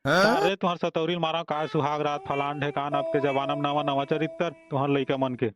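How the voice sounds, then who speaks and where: A man's voice: fairly high pitch, fairly even intonation, normal speed, lo-fi sound, natural voice. A man voicing a grumbling but affectionate old village grandfather in a comic cartoon, with a Chhattisgarhi accent and a rambling, folksy way of talking. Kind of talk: comic dialogue in an amateur animated cartoon. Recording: music behind the dialogue.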